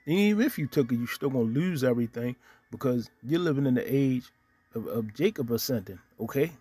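A man's voice: mid-range voice, expressive, fairly quick, dark tone, breathy edge. A man giving casual religious commentary on the news, reading scripture aloud and tagging nearly every point with "okay?". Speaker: online preacher commenting on news. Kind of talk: casual religious commentary over screen recording.

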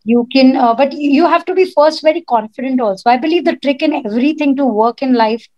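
A woman with a husky voice, a guest speaker giving confident, chatty advice on a video call. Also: sits high, a little fast. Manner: confident, chatty, says "like" often. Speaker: guest speaker, podcast producer. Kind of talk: casual advice talk. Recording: dull lo-fi video call audio.

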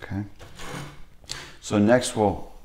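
Easygoing low-voiced plumber explaining. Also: male voice, fairly even intonation, slow, darkish tone, a little hiss or hum. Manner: plain, easygoing step-by-step explaining with fillers. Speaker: plumbing instructor. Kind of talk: hands-on how-to demonstration. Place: indoor workshop bench.

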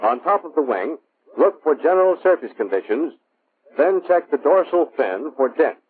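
American man, training film narrator, measured instructive delivery, tinny band-limited old soundtrack, slightly husky. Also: fairly high pitch, sing-song. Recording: muffled, phone-like vintage military film soundtrack.

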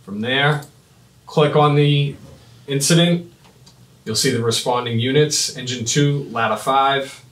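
A man in his thirties giving a step-by-step software demonstration in short, clipped instructional phrases. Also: mid-range voice, measured pace. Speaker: fire dispatch trainer. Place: small indoor office at a computer desk. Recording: roomy, echoing, mic at a distance.

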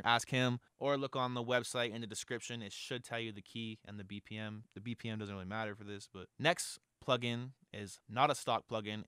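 A man's voice: mid-range voice, sharp tone, smooth, no room sound. A young man in a home studio, giving a chatty, upbeat tutorial on mixing vocals, close to a studio microphone. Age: young adult. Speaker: music producer teaching vocal mixing. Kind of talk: casual tutorial. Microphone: close studio mic on arm.

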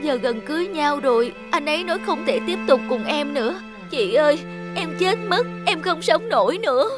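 A woman playing an emotional, heartbroken young woman in an animated drama, with plaintive, melodramatic delivery. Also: high-pitched, normal speed. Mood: tearful, despairing. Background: background music.

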